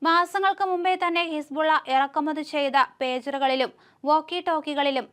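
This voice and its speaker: A woman's voice: high voice, fairly even intonation, moderate pace, a bit bright. A woman with a Kerala Malayali accent presenting the news as scripted narration.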